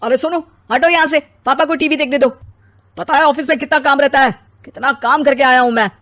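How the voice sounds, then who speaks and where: A woman's voice: high-pitched, steady tone, fairly quick, fairly bright tone. A woman voicing a grumpy, lazy, self-important husband and father who complains and makes demands in a story. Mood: irritated.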